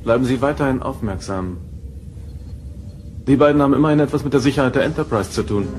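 An actor playing a firm, composed starship first officer in an audio drama, formal and matter-of-fact. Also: male voice, medium pitch, fast talker, over music, close to an everyday voice. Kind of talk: German audio drama acting.

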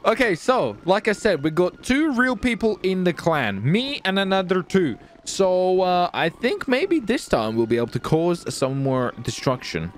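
A man bantering casually and excitedly in video game roleplay, with a cocky, taunting, repetitive manner. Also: sits high, a little slow, hardly any pauses, a little hiss or hum. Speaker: streamer roleplaying as gang leader in a video game.

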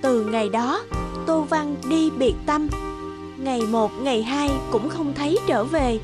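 Female storyteller, high register, scripted folk-tale narration over loud children's music. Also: a little slow.

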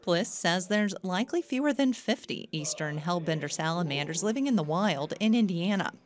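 A woman news reporter reading a scripted report with a lively delivery. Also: fairly low pitch, moderate pace.

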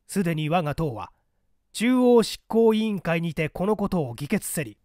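A male narrator reads literary fiction in a theatrical, carefully articulated way. His voice is fairly high for a man, with wide expressive intonation, and the recording is very dry. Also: moderate pace, no room sound. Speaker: audiobook narrator, stage actor.

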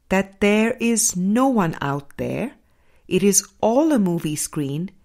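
A woman narrates a spiritual audiobook at a very unhurried pace. Her voice sits a little on the low side, and she phrases each thought deliberately, leaving pauses between them. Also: a little mellow. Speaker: audiobook reader.

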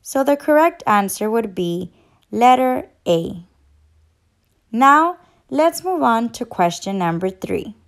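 A woman speaks to young children as a teacher and story reader. Her voice sits on the high side and her delivery is very slow. She explains and questions in an encouraging, instructional way. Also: very animated. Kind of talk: children's read-aloud and quiz.

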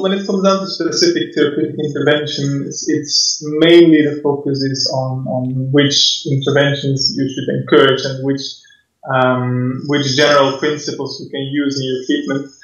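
Flat-voiced Belgian physio on a roomy line. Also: male voice, mid-range voice. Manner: measured, explanatory, frequent fillers. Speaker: physiotherapist guest. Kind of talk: online course interview. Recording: roomy, dull lo-fi sound.

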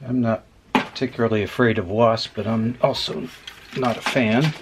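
A man casually talking through a hands-on repair as host, unhurried and dryly humorous. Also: mid-range voice, fairly even intonation. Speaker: host of an electronics repair video. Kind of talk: casual hands-on demonstration commentary. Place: indoor workbench. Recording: clear background noise.

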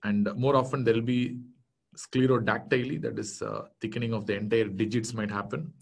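A man's voice: lowish voice, steady tone, brisk, vocal fry. A man in his thirties with an Indian English accent, a native Tamil speaker, giving a casual online medical lecture with many fillers and restarts. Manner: explanatory, conversational, many fillers and self-corrections. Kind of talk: online exam-prep lecture. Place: small indoor room.

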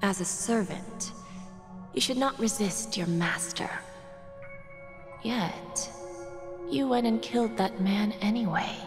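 An actress playing a poised, seductive businesswoman in a video game, languid and breathy as she toys with someone. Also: medium pitch, takes it slowly, long pauses, natural voice. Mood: teasing menace. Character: poised, seductive, powerful businesswoman toying with a prisoner. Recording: reverberant, with music underneath.

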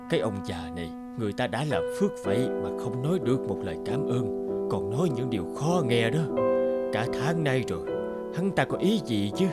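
A man's voice: mid-range voice, big pitch swings, brisk, lightly acted. A man voicing a grieving, suspicious old mother in a cartoon fairy tale, plaintive and dramatic. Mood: resentful. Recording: loud music behind the voice.

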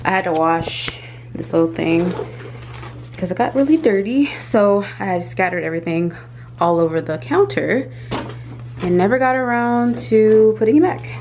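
A woman's voice: mid-range voice, moderate pace, darkish tone. A young adult woman chatting casually to a vlog camera, thinking aloud informally as she tidies at home. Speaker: home vlogger. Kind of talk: casual vlog chat while tidying. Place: small indoor home rooms: closet, bedroom, bathroom. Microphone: handheld or tripod vlog camera. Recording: clear background noise with handling clicks.

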